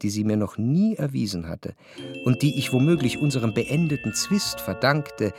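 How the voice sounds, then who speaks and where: Casting: introspective male narrator, mid-pitched, measured literary radio drama delivery, with music underneath. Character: introspective male narrator, jealous lover recalling his past.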